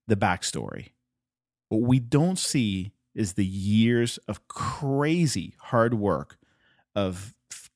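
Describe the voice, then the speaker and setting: Low-voiced emphatic male podcaster. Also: no echo, clean studio sound. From Puerto Rico. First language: Spanish. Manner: earnest, emphatic, repeats points for stress. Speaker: podcast host. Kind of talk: motivational solo podcast talk.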